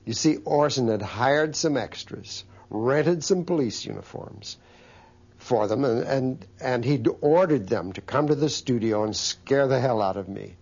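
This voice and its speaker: A man's voice: mid-range voice, animated, unhurried, creaky, a little mellow, breathy edge. A man recounting anecdotes as an interviewee, in a recollecting, storytelling style. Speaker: interviewee, former radio colleague. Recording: dull, muffled interview recording.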